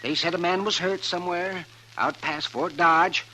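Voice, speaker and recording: An actor playing a crusty, principled older town doctor in an old-time radio western, speaking in a folksy, emphatic way. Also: male voice, sits high, steady tone, moderate pace, bright, forceful tone. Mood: weary recounting. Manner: folksy, emphatic storytelling with rural grammar. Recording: noisy vintage radio recording.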